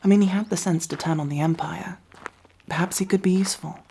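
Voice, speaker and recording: A woman playing a proud but nervous young elf rogue in an ASMR roleplay, with wry, halting delivery. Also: fairly low pitch, steady tone, vocal fry, mellow, a little hiss or hum. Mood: wry, defensive.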